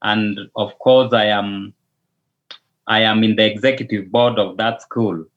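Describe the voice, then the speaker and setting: A man's voice: fairly low pitch, fairly even intonation, unhurried. A Kenyan man and pastor, a native Luo speaker, answering interview questions hesitantly, often repeating and restarting words. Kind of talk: interview answers over a call. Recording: dull lo-fi audio.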